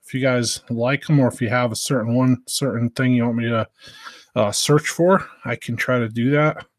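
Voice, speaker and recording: A man's voice: medium pitch, fairly even intonation, moderate pace, no echo. Casual, hesitant man in a dry room. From United States, Southern Virginia. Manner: hesitant, meandering. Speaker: live stream host. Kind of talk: casual, rambling live stream chat.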